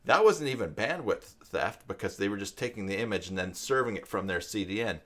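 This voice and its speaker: A man hosts a casual live stream. His voice sits fairly low. He talks to viewers in a rambling, halting way, thinking aloud as he goes. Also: animated, a bit bright. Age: 50s. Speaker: live stream host, web tutorial presenter.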